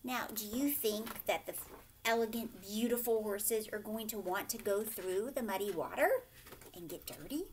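A woman in her thirties reading a children's picture book aloud expressively, pausing to explain and ask questions like a teacher. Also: mid-range voice, a little hiss or hum. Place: furnished living room, indoors.

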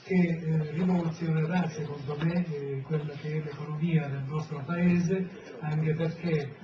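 Hesitant Sicilian mayor, distant echoey hall. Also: male voice, fairly high pitch, steady tone, measured pace. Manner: hesitant, many fillers. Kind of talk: public speech at a press event. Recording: roomy, distant, dull, noisy.

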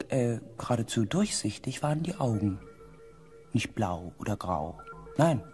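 A reflective space expedition narrator in a radio play. His delivery is hushed and deliberate at a medium pitch, with music underneath. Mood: awed, unsettled. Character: reflective crew member recounting a space expedition. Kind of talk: scripted first-person radio drama narration.

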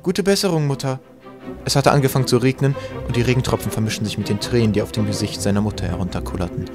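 A man narrating a comic children's story from a script, with dry, deadpan storytelling. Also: lowish voice, very fast, music in the background. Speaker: audiobook narrator.

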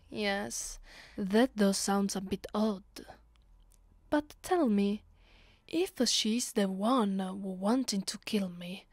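Casting: actress as cold, haughty female vampire for ASMR roleplay, Italian accent, unhurried hushed delivery, dry sound. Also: mid-range voice, no room sound. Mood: cold, accusing. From Italy. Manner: slow, hushed, haughty delivery with whispered phrases. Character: haughty, cold female vampire mistress punishing her servant.

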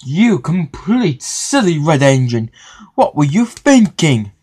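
A man's voice: fairly high pitch, sing-song, takes it slowly, darkish tone, slightly rough, voice pushed up, exaggerated voice. A man voicing a furious, bossy film manager who scolds and gets flustered in a children's story. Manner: scolding, exclamatory.

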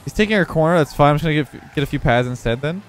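A young man with a congested voice giving relaxed running commentary on his own video game match. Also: on the high side, racing. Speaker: gaming video creator. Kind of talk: live gameplay commentary.

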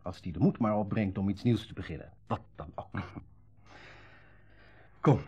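An actor playing a thoughtful, modest young man with a quietly philosophical temper in a radio play. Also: fairly low pitch, moderate pace, breathy edge. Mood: sincere. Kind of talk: Dutch radio play acting, naturalistic dialogue. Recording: dull old radio recording.

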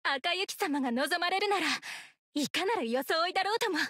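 A woman's voice: extremely high pitch, expressive, moderate pace, a bit bright, no echo, studio quality. A woman voicing a devoted young female ninja in an anime game, earnest, terse and possessive of her master. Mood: devoted, resolute. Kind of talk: Japanese anime game character voice lines.

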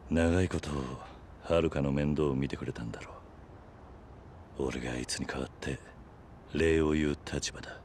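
An actor playing a stoic, protective middle-aged yakuza man in a game drama, speaking in terse, grave, measured phrases. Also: rumbling low, fairly even intonation, darkish tone, slight background noise. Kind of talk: dramatic Japanese video game acting.

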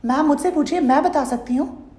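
A man's voice: extremely high pitch, normal speed, darkish tone, voice pushed up. A man voicing a polite, patient female call-centre agent in a prank phone call. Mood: coaxing. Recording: roomy indoor echo.

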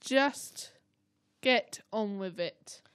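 A woman's voice: mid-range voice, extremely slow, a bit bright, clear, resonant tone. A woman playing a rude, tired, impatient taxi driver and ticket officer in an amateur radio play. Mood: grumpy, impatient. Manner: blunt, impatient, bossy. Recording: faint background noise.

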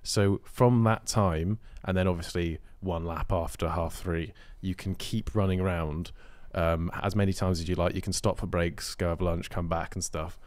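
A man's voice: low-pitched, steady tone, moderate pace, hardly any pauses, a little mellow, dry recording. A British man in his twenties co-hosting a podcast, chatting casually in a matter-of-fact, explanatory way into a close microphone. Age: 20s. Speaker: podcast co-host. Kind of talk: casual chat. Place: small office-style studio, indoors. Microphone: close podcast microphone on stand.

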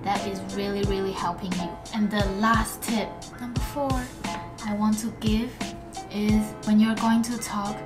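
A woman's voice: mid-range voice, steady tone, measured pace. A young woman giving casual tips to camera in simple, informal wording with non-native phrasing. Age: young adult. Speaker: student pilot vlogger. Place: small room indoors. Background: background music.

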